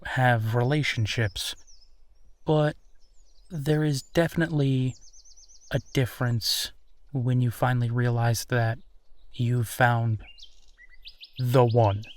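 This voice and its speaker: A man playing a candid, awkward werewolf in an intimate audio roleplay, talking in a halting, conversational way with sighs. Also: medium pitch, a little mellow. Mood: thoughtful, explaining. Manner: halting, hesitant, sighing, conversational. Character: easygoing young male werewolf, awkward, candid, bitter about rival.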